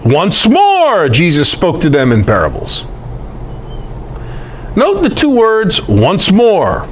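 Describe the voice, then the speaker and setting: A man's voice: high voice, huge pitch swings, slow, a little hiss or hum, low-quality recording. A man giving a conversational Bible lecture to a group, emphatic, posing rhetorical questions.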